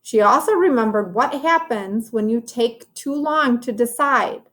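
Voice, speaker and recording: Woman, higher-pitched voice, very slow pace, storybook read-aloud, explanatory teacher addressing young children. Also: expressive, fairly bright tone.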